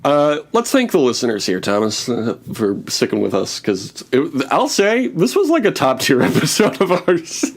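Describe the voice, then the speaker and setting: Male podcast host, thirties, medium pitch, jokey and self-mocking, casual back-and-forth banter. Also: huge pitch swings, normal speed, hardly any pauses.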